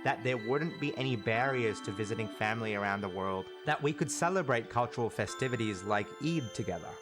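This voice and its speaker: A man whose first language is Urdu, narrating an animated story with earnest, self-deprecating humor. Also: lowish voice, brisk. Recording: voice-over with background music.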